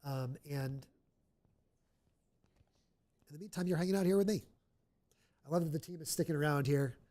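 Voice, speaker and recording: A man's voice: a little high, normal speed, mellow. A man with a nasal voice hosting a live charity stream, chatting upbeat to fill time. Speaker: livestream host. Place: stadium event room.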